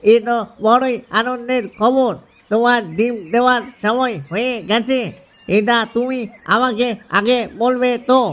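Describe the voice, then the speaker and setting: A man's voice: very high voice, level tone, unhurried, a bit bright. A man voicing an easygoing, doting father duck husband in a cartoon. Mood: eager. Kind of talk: cartoon dialogue.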